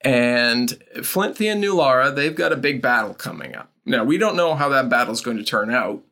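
A woman's voice: very deep voice, big pitch swings, moderate pace, a bit bright. A woman hosting a tabletop game podcast, casually introducing the players and the game. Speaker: host and game master of an actual-play podcast.

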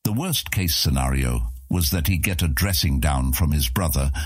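A man narrating a fantasy audiobook as a scripted story, with a gravelly, husky voice. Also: very deep voice, expressive, normal speed, dry recording, clean studio sound.

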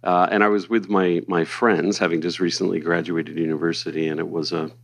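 A Canadian man in his fifties with a very deep, gravelly voice. He speaks on a panel as a historian, telling anecdotes with a self-deprecating, jokey edge. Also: flat delivery, brisk.

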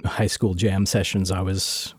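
A man being interviewed, talking casually and hesitantly with many pauses and restarts. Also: deep voice, flat delivery, no room sound, clean studio sound. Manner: hesitant, lots of uhs and restarts. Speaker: interview guest, sound designer.

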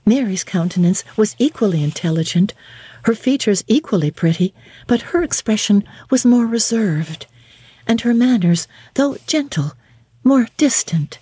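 A woman narrating a classic novel as a dramatic reading, with measured, deliberate and formal phrasing. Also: medium pitch, lively intonation, warm tone, breathy edge, no room sound. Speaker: audiobook narrator.

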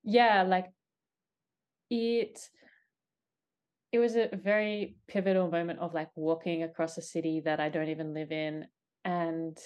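An artist answering interview questions in a thoughtful, deliberate way, pausing between phrases. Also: medium pitch, darkish tone. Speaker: artist, podcast guest.

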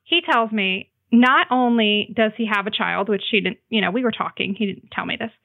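A woman chatting casually as a podcast guest, telling stories in an animated way and slipping into quoted dialogue. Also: medium pitch, brisk, vocal fry. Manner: animated retelling with acted-out quotes, frequent "like". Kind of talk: casual chat, storytelling. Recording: muffled, phone-like band-limited sound.

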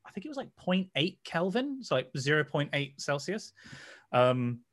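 A man's voice: fairly high pitch, big pitch swings, moderate pace, fairly bright tone. Man explaining science casually. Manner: digressive, explains with analogies. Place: online video call.

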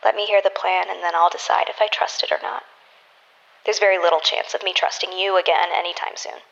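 An actress playing a guarded, sardonic operative in an audio drama, speaking curtly. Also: female voice, on the low side, steady tone, fairly quick, creaky, fairly bright tone, muffled recording. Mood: wary, sardonic. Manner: curt, sardonic. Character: guarded, sardonic woman operative, wary and curt. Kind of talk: naturalistic audio drama dialogue.

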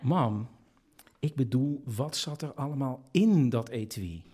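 A man's voice: medium pitch, animated, mellow, slightly rough. A man playing an exasperated, baffled adult son in a comic radio play recorded live in a theatre. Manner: baffled, halting, echoing words in disbelief. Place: theatre stage before a live audience.

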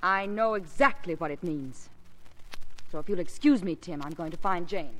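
A woman's voice: on the low side, moderate pace, background noise, lightly acted. An actress playing a firm, protective wife and mother in a 1950s radio drama, earnest and emphatic. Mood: angry, resolute.

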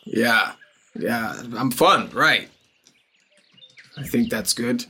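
A man's voice: medium pitch, lively intonation, very slow, pushed and bright, husky, heavily acted. A man voicing a dim-witted teenage half-orc boy who rambles hesitantly and fills his talk with fillers.

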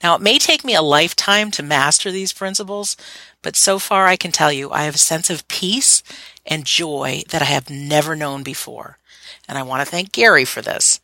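Female host with a cold, bright and eager, dry close sound. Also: low-pitched, normal speed, no echo. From Massachusetts, USA. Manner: bright, eager, laughs easily. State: has a cold. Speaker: radio show host. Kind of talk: enthusiastic interview hosting.

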